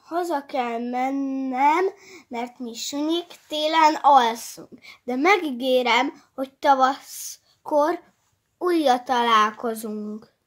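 A woman voicing a small, shy, sleepy hedgehog in a children's story, speaking in a timid, stumbling, gentle way. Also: sits high, pitched above the performer's own voice. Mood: sad farewell. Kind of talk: storybook character voice.

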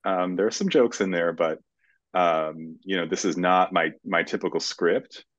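A middle-aged man speaking in a halting, measured way as a screenwriter in a casual interview over a video call. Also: deep voice, fairly even intonation, dry recording. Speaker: screenwriter guest. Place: room at home, indoors. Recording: dull, muffled video call audio.

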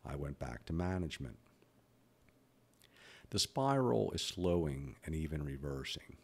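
A man's voice: a bass voice, lively intonation, unhurried, creaky, a little mellow, breathy edge. A man in his fifties who stutters, talking bluntly and candidly about his own life as a podcast host. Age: 50s. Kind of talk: personal reflective monologue.

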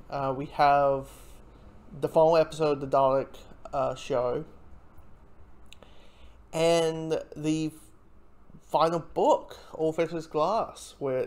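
A reviewer talking casually and off the cuff, in a hesitant, halting way with frequent false starts. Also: medium pitch, darkish tone.

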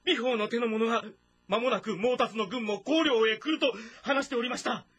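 A man playing a loyal, urgent officer reporting to his commander in a historical game drama, with a husky, strained voice. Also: very high-pitched, normal speed, a bit bright, faint music behind, heavily acted. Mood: anxious reporting. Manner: urgent, deferential military reporting. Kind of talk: Japanese historical drama voice acting.

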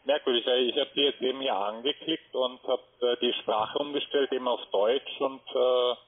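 A man's voice: mid-range voice, steady tone, moderate pace, slightly rough. A man working as a call center agent, polite and hesitant, full of fillers as he repeats details back. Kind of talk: phone call. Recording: phone-line audio.

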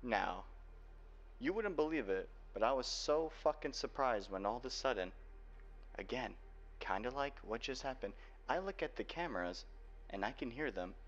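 A young man playing a guarded, protective cyberpunk hacker boyfriend in an ASMR roleplay, speaking earnestly and haltingly. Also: medium pitch, expressive, a bit bright. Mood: bitter, angry. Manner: earnest, halting delivery with sighs. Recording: dull lo-fi home recording, faint noise.

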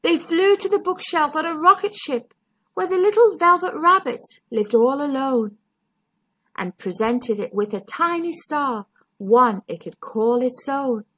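A woman storytime host with a husky voice, reading a children's story expressively to young listeners. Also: sits high, expressive, measured pace. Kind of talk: storybook read-aloud narration.

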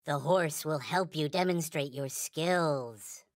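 A man voicing a small, naive, reverent toy space alien in a video game, with a high childlike cartoon voice and solemn, stilted, formal phrasing. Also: slow pace, no echo, clean studio sound. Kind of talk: video game character voice lines.

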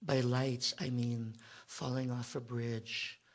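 A man in his thirties on a theater stage, performing spoken word at a stand mic, moving from a frantic comic rant to a hushed lyrical lament. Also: medium pitch, flat delivery, slow pace. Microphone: stand mic, far camera.

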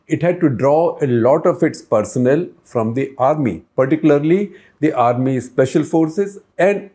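A man's voice: on the high side, animated, normal speed, mellow. An older man hosting a news explainer, talking to camera in a conversational way and posing questions to the viewer, recorded on a lapel mic in a book-lined office.

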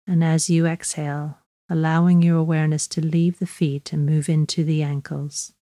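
A woman guiding a body scan meditation in a slow, measured, gently instructive way, leaving long pauses. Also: low-pitched, level tone, no echo, studio quality. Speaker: mindfulness meditation guide.

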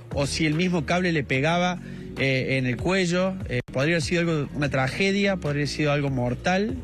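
A man in his thirties with an Argentine accent, reporting live from a street with a handheld mic and lots of fillers. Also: fairly high pitch. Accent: Argentine Spanish. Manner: many "eh" fillers, measured. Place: outdoors on a residential street.

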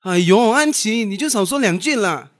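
A man acting a gentle, coaxing young friend in a radio drama. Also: high-pitched, animated, moderate pace, dry recording, clean studio sound.

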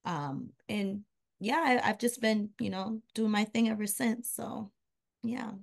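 A woman's voice: mid-range voice, measured pace, creaky. A young woman from Haiti, a recording artist, chatting casually as a guest over a video call, with lots of fillers and trailing asides. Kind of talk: casual interview chat. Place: home room, indoors. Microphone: video call webcam.

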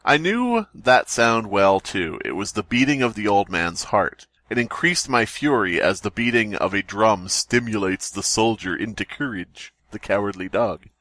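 A man's voice: lowish voice, animated, moderate pace, hardly any pauses, a bit bright, no echo. A man hosting a comedy podcast, reading aloud and riffing with sarcastic, profane, joking commentary. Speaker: podcast host.

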